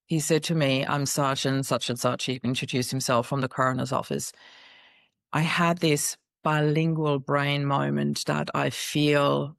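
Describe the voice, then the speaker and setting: Middle-aged woman, gravelly edge, telling her story earnestly in an interview. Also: rumbling low, no room sound, clean studio sound. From Austria. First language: German. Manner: earnest, recounting in vivid detail. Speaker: guest.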